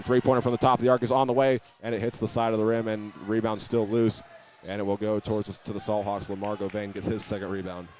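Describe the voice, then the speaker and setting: Fast-talking American male basketball announcer. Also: fairly low pitch, a little mellow. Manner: running present-tense description of each move. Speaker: play-by-play sports announcer. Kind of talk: live basketball radio play-by-play commentary.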